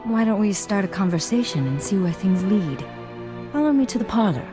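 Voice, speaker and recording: A woman's voice: medium pitch, sing-song, a little fast, natural voice. An actress playing a refined, flirtatious noblewoman in a video game cutscene, speaking with formal poise. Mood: coolly flirtatious. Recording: long hall reverb, music behind.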